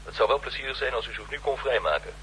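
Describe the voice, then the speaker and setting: An actor playing a formal, courteous military liaison officer in a 1960s radio drama. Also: male voice, mid-range voice, expressive, quick pace, bright, forceful tone, husky, heavily acted, poor audio. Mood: formal, insistent.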